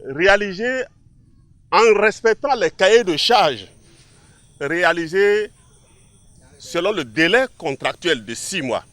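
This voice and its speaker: A man's voice: a little high, a bit bright. A man, a local official making a public speech with emphatic, repeated phrasing.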